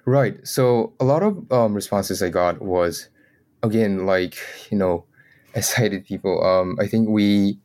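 Low-pitched man, hesitant interview guest. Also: takes it slowly, darkish tone. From South Korea. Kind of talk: conversational interview.

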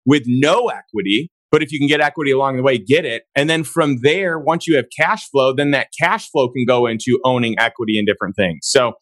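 Raspy, hoarse male host, mid-pitched, emphatic and upbeat, recorded dry. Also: fairly quick, hardly any pauses, fairly bright tone, no echo. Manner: emphatic, enthusiastic. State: hoarse from days of talking. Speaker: podcast host. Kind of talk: casual interview chat.

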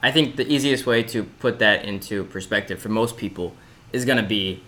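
A man from California co-hosting a casual podcast, quick to agree and add his own point. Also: on the low side, fairly bright tone. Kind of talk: casual chat.

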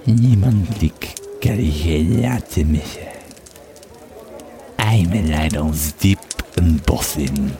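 Man acting a very deep, haunted Roman legionary. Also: expressive, a crowd in the background, exaggerated voice. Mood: troubled, weary. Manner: halting, repeating words, hushed at times. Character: weary, troubled Roman legionary recounting his tale over drink. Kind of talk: audio drama monologue and narration.

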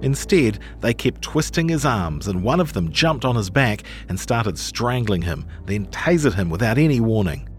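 A man's voice: fairly low pitch, very animated, normal speed, hardly any pauses, soft background music. A man with a New Zealand accent reading scripted journalistic narration for a podcast. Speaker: narrator of a long-read podcast.

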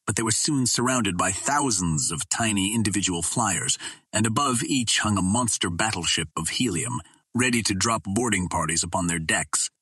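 Low, husky male audiobook narrator. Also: lively intonation, normal speed, pushed and bright, no room sound. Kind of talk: scripted narration of a vintage adventure novel.

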